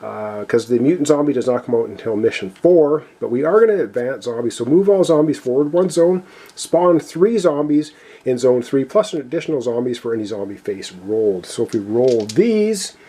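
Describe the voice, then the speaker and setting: Man, mellow tone, husky edge, casual game tutorial, explanatory, step by step. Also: mid-range voice, lively intonation, moderate pace. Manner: explanatory, talking viewers through step by step. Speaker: board game player and presenter. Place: indoors at a gaming table.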